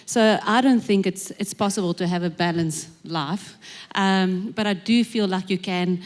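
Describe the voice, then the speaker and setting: A woman's voice: medium pitch, unhurried. A woman who is a church minister, speaking on a panel in a thoughtful, hesitant way with many ums. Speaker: executive minister. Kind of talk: panel conversation. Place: church stage at a live service.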